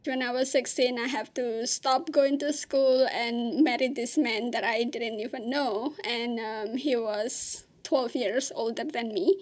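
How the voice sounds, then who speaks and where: A woman from Indonesia with an Indonesian accent, giving personal testimony in a plain, halting way with many fillers. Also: a little high, fairly even intonation. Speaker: interviewee.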